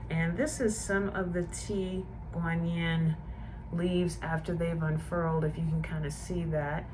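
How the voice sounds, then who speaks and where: Soft-spoken woman, nasal from a cold. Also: on the low side, little pitch movement, unhurried, noisy background. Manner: gentle, savoring, softly enthusiastic. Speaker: tea and book channel host. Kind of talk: relaxed tea tasting vlog with guided breathing.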